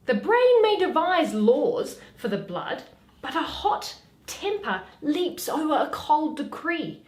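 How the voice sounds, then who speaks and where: Woman playing witty, weary young noblewoman, very animated. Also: sits high, exaggerated voice. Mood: exasperated. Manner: dramatic, deliberate, expressive. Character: Portia, witty weary young noblewoman, mocking her suitors. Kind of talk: theatrical Shakespeare reading. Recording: echoey room.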